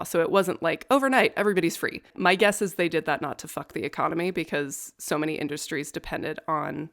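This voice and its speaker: A low-pitched young woman co-hosts a chatty podcast. She tells history with dry, profane humor. Her voice sits deep for a woman and stays relaxed and conversational. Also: gravelly. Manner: wry, profane, nerdy about law. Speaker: podcast co-host. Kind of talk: casual storytelling chat.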